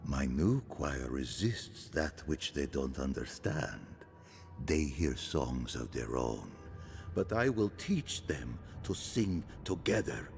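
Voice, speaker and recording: A man voicing a menacing, mad cult leader in a video game, preaching slowly and theatrically in a very gravelly voice. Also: rumbling low, big pitch swings, faint music behind, exaggerated voice. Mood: grandiose zeal. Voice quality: very gravelly, creaky. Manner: slow, theatrical, sermon-like. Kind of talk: video game cutscene acting.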